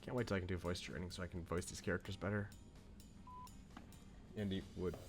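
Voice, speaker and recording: A man's voice: deep voice, steady tone, fairly quick. A man streaming a game casually, chatting and reading on-screen text aloud. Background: faint game music.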